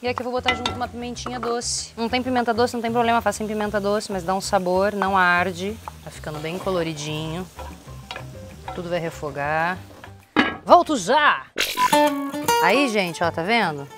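A woman's voice: mid-range voice, fairly even intonation, fast, hardly any pauses. Brazilian woman in her 30s doing a cooking demo, super informal and chatty, music playing behind her. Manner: chatty, informal, explaining step by step. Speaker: cooking show host. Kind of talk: casual cooking demonstration. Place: open-sided thatched outdoor kitchen. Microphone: lapel.

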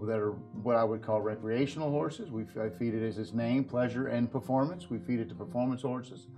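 A man's voice: mid-range voice, darkish tone. Earnest middle-aged man over light guitar music. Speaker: professional farrier, interview guest. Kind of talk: explanatory interview talk about horse nutrition products. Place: indoor video set at a display table.

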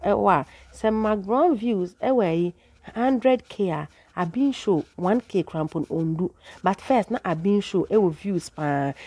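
A woman's voice: mid-range voice, warm tone, no room sound. A woman with a Ghanaian accent, narrating a chatty celebrity gossip voice-over as channel host and often asking her listeners questions. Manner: chatty, asks viewers "what I say?".

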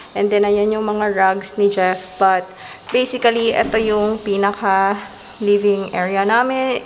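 A young adult Filipino woman with a Filipino accent giving a casual house tour, slightly muffled by a face mask. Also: mid-range voice. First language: Tagalog. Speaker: vlogger. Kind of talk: casual house tour chat, switching between two languages. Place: inside an empty house, tiled rooms. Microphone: handheld camera at arm's length. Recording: echoey empty rooms with background noise.

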